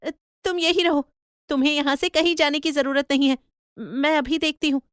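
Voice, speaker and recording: A woman voicing a kind, excitable young married village woman in a cartoon story, pleading and gushing. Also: sits high, normal speed, no room sound, studio quality, voice pushed up. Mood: alarmed. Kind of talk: Hindi cartoon story acting.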